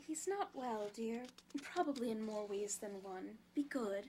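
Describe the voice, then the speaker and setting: Woman acting a high-voiced, slow-spoken young wife. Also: lively intonation, a little mellow, slight background noise. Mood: fond, teasing. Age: young adult. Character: young wife, gently teasing and caring. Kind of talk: audio drama acting.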